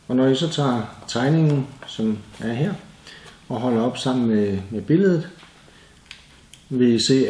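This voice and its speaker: A man's voice: medium pitch, darkish tone. Echoey Danish man giving a hands-on tutorial. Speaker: DIY presenter. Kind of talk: hands-on tutorial narration, casual. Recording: echoey room, faint background noise.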